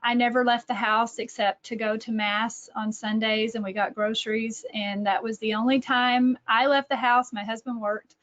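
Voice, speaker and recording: Higher-voiced Texan woman, slightly muffled audio. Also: little pitch movement, moderate pace, hardly any pauses, fairly bright tone. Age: middle-aged. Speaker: speaker, educator and author. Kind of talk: informal online talk with personal anecdotes. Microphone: video call. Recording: dull lo-fi online call audio.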